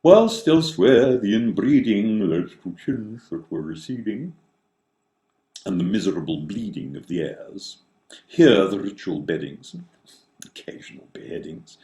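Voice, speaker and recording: A man reciting comic rhyming verse theatrically, in a wry, mock-grand manner with drawn-out dramatic phrasing. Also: on the low side, very animated, dark tone. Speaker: author reading own satirical verse.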